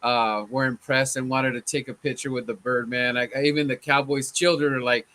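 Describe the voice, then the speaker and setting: A man hosting a casual sports fan chat, his voice a little hoarse from chanting, laid-back and often saying "man". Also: medium pitch, level tone, normal speed, sharp tone. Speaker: fan channel host.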